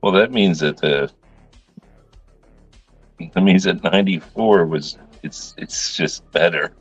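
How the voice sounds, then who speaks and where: A man's voice: low-pitched, slow pace, slightly rough, no room sound, soft background music. An easygoing man co-hosting a live talk show in casual chat, on a dull lo-fi mic.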